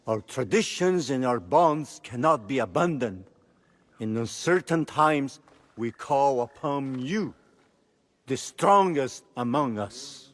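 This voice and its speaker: An actor playing a solemn clan leader, formal and declamatory, in a dramatic video game. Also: male voice, mid-range voice, lively intonation, slow pace. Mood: ceremonious. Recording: long hall reverb.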